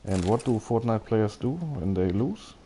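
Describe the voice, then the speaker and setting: A man's voice: fairly low pitch, lively intonation, measured pace, dark tone, a little hiss or hum. A man chatting casually as he livestreams a video game, often hesitant and thinking aloud. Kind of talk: casual rambling game stream commentary, chatting with viewers.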